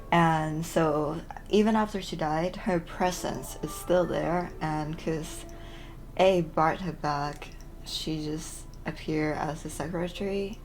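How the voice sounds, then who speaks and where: A woman with a low voice gives casual commentary as a game streamer. She explains in a rambling, informal way, with faint music behind her. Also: darkish tone, rough recording. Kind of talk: casual let's play commentary.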